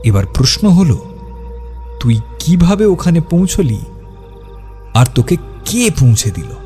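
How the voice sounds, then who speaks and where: A man's voice: fairly high pitch, sing-song, measured pace, warm tone. A man narrating a scripted horror story in a dramatic, suspenseful storytelling style. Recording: music behind the voice. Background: music.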